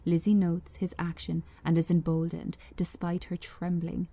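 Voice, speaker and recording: Female script reader, lowish mellow voice, deliberate scripted narration. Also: monotone, no room sound.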